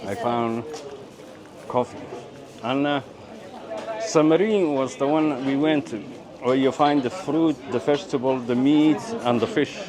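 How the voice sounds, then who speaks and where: Male travel vlogger from Birmingham, UK. Casual, halting delivery with repeats, recorded amid clear crowd bustle. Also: medium pitch. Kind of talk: casual vlog chat and narration. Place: out and about in a city. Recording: busy street and cafe chatter behind.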